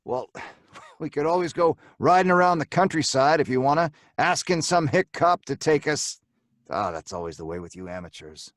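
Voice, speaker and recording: A man's voice: fairly high pitch, lively intonation, moderate pace, no room sound, clean studio sound, natural voice. An actor playing a cocky, slangy robber and gang leader who tells his story in old time radio crime drama style. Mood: impatient, cocky. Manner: slangy, hard-boiled, colloquial.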